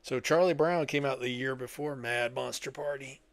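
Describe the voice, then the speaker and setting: A man's voice: medium pitch, normal speed, no room sound. An older man co-hosting a podcast in casual, conversational chat. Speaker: podcast co-host.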